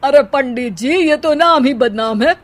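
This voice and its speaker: Sharp-tongued cartoon mother-in-law, played by a woman, high voice, scornful and sarcastic retorts. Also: animated, unhurried. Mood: mocking. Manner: mocking, scolding retorts. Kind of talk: comic cartoon dialogue.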